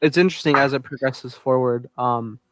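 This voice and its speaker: A man with a mid-range voice gives a casual recap as a podcast co-host. His delivery is halting and hesitant, and the sound is close and dry with no room echo. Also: mid-range voice, fairly even intonation, fairly bright tone.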